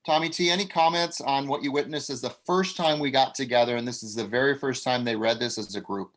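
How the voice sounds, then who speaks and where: A man's voice: mid-range voice, fast talker, a bit bright, low-quality recording. A man leading a table read over a video call, blunt and coach-like in manner.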